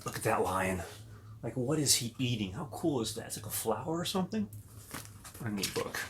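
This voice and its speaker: Guy reading a kids bible story out loud, expressive, chatting to the little ones, medium pitch. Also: a little fast, background noise. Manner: expressive, talks directly to children. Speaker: pastor and story reader. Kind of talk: read-aloud children's story with asides to young viewers.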